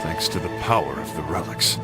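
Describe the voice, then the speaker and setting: Grim crusader knight over loud music. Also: male voice, animated, fairly quick. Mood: grave, measured. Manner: solemn, formal archaic phrasing. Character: grim, resolute young crusader knight, fiercely righteous. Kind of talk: dramatic video game cutscene acting. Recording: loud music and rumble behind.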